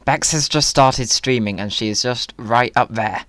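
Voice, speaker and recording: A man's voice: mid-range voice, moderate pace, fairly bright tone, dry recording. Playful man narrating his gaming video. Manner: playful, whimsical wording. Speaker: video creator. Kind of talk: casual gaming commentary.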